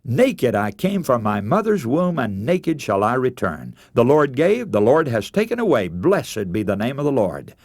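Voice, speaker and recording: Preacher guy as a grieving devout patriarch, solemn drawn out words, mid pitch, dry sound, bible story. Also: sing-song, moderate pace, few pauses, a little mellow, dry recording. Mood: anguished, reverent.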